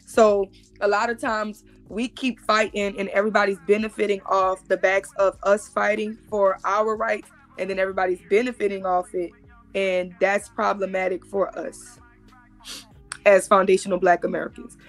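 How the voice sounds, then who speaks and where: A woman's voice: medium pitch, flat delivery, normal speed, soft background music. A woman in her thirties, joining a live stream over a video call and explaining things emphatically, often repeating herself. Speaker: guest.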